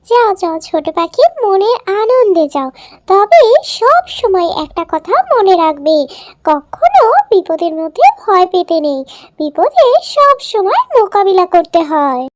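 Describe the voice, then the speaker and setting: A woman's voice: very high-pitched, very animated, measured pace, no echo, clean studio sound, pitched above the performer's own voice, heavily acted. A woman voicing a kind, wise, comforting bird in a children's cartoon. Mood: encouraging.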